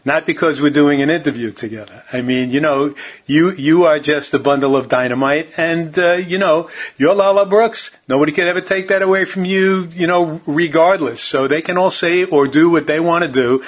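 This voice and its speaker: Male radio host, friendly and encouraging, slightly high voice. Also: moderate pace. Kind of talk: radio interview.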